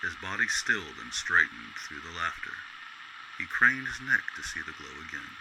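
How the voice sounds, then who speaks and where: A man narrating a scripted radio story in a measured, literary storytelling way. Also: low-pitched, very animated, background noise.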